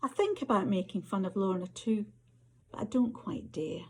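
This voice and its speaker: A woman with a Scottish accent reading short stories aloud as an author, her voice husky. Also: medium pitch, expressive, moderate pace, warm tone. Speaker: author reading their fiction.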